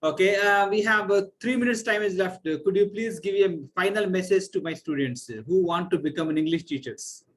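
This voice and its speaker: A man from India with an Indian accent, hosting an online class and asking questions slowly and politely with many hesitations. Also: sits high, a bit bright. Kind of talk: video-call interview.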